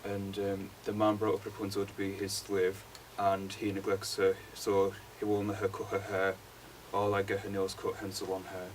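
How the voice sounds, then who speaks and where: A young man, a college student giving an informal class presentation in a flat, hesitant way. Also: deep voice, normal speed, hardly any pauses. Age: young adult. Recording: distant mic, faint room noise.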